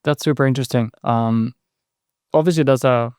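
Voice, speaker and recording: A man's voice: mid-range voice, moderate pace, a little mellow, smooth, no echo, clean studio sound. A man hosting a podcast interview, talking casually and hesitantly with lots of fillers and tag questions. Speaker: podcast host.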